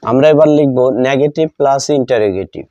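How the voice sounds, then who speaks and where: A man with a Bengali accent teaching English grammar, dictating as he writes and repeating each phrase deliberately, in a dull lo-fi recording. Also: medium pitch, mellow. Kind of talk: grammar lesson, dictating while writing.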